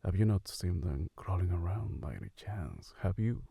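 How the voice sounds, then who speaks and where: A man playing a suave, devoted gothic husband and father in an ASMR roleplay, soft-spoken, hushed and husky. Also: sits low, big pitch swings, normal speed, dry recording, exaggerated voice. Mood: affectionate, casual.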